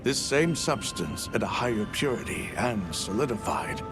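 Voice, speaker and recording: A man playing a sober, caring guide in a video game, measured and instructive as he gives cautions. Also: lowish voice, animated, lightly acted. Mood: serious, cautioning. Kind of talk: cinematic video game dialogue. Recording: loud music under the voice. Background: loud music.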